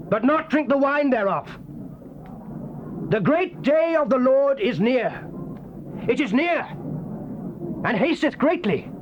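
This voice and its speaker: An actor playing a fiery prophet proclaiming God's wrath in solemn, archaic, declamatory scripture style. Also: male voice, very high-pitched, heavily acted. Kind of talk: dramatized scripture reading, archaic King James English. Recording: muffled, noisy old cassette tape.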